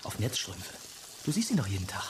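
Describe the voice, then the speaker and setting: An actor playing an obsessed, bitter novice, insistent and pointed in radio drama dialogue. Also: male voice, fairly low pitch, huge pitch swings, fairly quick. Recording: loud noise behind the voice.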